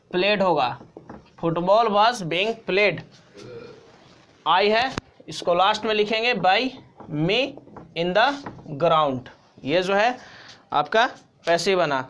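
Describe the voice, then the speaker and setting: A man's voice: sits high, fairly bright tone. A man with an Indian accent teaching English grammar step by step, repeating key points in an instructional way. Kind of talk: step-by-step tutorial explanation.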